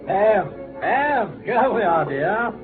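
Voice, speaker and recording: An actor in an old radio drama playing a genial, fawning colonel who fences stolen goods, effusive and avuncular. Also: male voice, high-pitched, very animated, slow pace, exaggerated voice. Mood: genial, soothing. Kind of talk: 1940s-50s radio drama acting. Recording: tinny old radio, music behind.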